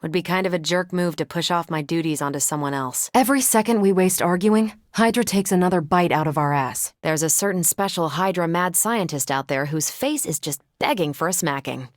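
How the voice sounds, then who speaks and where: A self-assured superhero woman, played by an actress for a video game, with a lowish voice and a very dry, close sound. She is brash and wry but loyal, talking casually like a seasoned soldier. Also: lively intonation, brisk, hardly any pauses, dry recording, close to an everyday voice.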